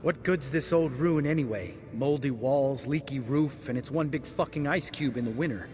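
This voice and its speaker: An actor voicing a surly, sarcastic, grumbling monster hunter in a fantasy video game, brusque and complaining. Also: male voice, medium pitch, normal speed, over music, lightly acted. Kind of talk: cinematic game voice acting.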